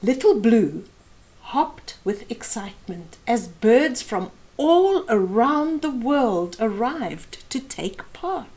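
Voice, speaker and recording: A woman's voice: a little high, very animated, slow, darkish tone. A middle-aged woman hosting a children's storytime, reading a picture book expressively and explaining it to young listeners. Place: small indoor room.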